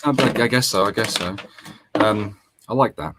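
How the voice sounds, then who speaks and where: A man's voice: on the low side, moderate pace, background noise. A man, co-hosting a gaming stream in casual, sweary, joking banter. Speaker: co-host of a gaming radio stream.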